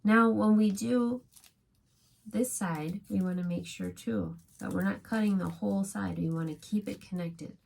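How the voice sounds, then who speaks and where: Husky woman guiding children through crafts. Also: mid-range voice, measured pace, darkish tone. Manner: encouraging, simple short phrases addressed to a child. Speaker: preschool teacher hosting a children's craft video. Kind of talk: gentle step-by-step craft demonstration for young children.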